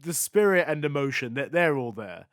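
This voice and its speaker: A man's voice: medium pitch, big pitch swings, moderate pace, dry recording, studio quality. A man hosting a podcast, chatting informally about a film in a sweary, conversational way. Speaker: podcast host. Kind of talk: casual film discussion.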